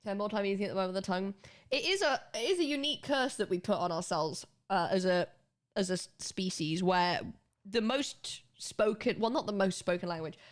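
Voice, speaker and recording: A woman's voice: medium pitch, expressive, normal speed. A British woman streaming, chatting casually and rambling with her viewers, swearing freely and often restarting her sentences. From Britain. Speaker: livestreamer.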